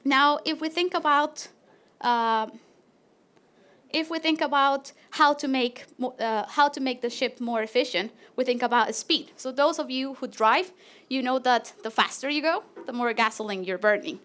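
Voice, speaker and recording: High-voiced Latin American woman presenting. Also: normal speed. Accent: Latin American Spanish. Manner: friendly and animated, with small fillers and restarts. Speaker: PhD researcher presenting. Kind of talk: live PechaKucha talk to an audience.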